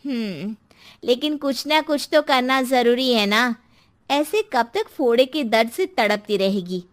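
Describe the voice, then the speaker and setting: A woman's voice: on the high side, animated, a little slow. A woman playing a bossy, outspoken neighbour in a dramatised story, bluntly handing out advice. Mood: concerned, urging. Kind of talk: dramatised Hindi moral story.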